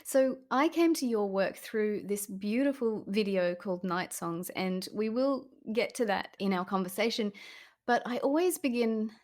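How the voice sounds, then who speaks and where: A woman hosting a podcast, asking her guest questions in a gentle, appreciative way. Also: mid-range voice. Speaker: podcast host. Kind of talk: interview questions.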